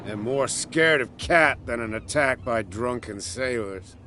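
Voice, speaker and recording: A man voicing a gruff, suspicious island drunkard in a video game, grumbling about outsiders. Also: medium pitch, animated, unhurried, pushed and bright, slight background noise. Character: gruff, idle male island drunk, protective of his saint.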